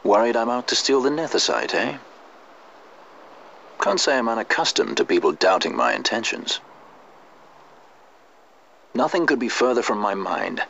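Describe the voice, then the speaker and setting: A man's voice: mid-range voice, sing-song, moderate pace, pauses often, a bit bright, slight background noise. A man playing a suave, cynical sky pirate in a fantasy video game, wry, nonchalant and a touch theatrical. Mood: wry, cool. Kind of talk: video game drama acting. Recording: muffled game audio under clear music.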